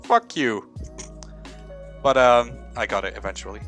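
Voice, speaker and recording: A man's voice: mid-range voice, unhurried, fairly bright tone, faint music behind. A young man from the Netherlands with a Dutch accent, streaming a live coding session, rambling to himself and chatting with viewers. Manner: rambling, talks to himself, hums filler syllables, swears casually.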